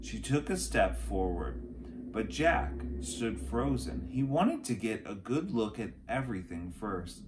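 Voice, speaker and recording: A man in his forties narrates a children's chapter book. He takes his time, with a slightly low voice that swings expressively through the sentences. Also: sing-song, measured pace, background noise. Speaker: read-aloud storyteller. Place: small furnished room at home, indoors.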